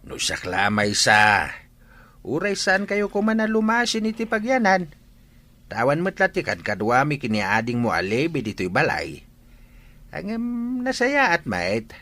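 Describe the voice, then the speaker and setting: Man playing caring older Filipino father, low bright voice, swooping intonation, firm advice, audio drama. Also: wildly swooping pitch, unhurried, full character voice. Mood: earnest, advising.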